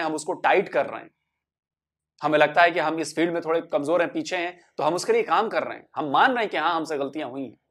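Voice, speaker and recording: Man speaking in measured, persuasive tones. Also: fairly high pitch, fairly bright tone. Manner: measured and persuasive, building points by repetition. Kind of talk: earnest talk to camera.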